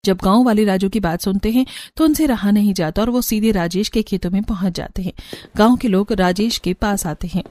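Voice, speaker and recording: A woman's voice: medium pitch, brisk, darkish tone, dry recording, studio quality. A woman narrator reading a scripted story for a cartoon.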